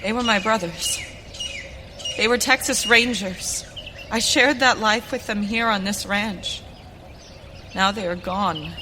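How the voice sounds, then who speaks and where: A woman's voice: medium pitch, takes it slowly, pauses often, background noise, close to an everyday voice. A woman playing a determined young rancher turned masked avenger in a western radio play, speaking earnestly and theatrically. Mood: grieving. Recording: reverberant hall, stage performance.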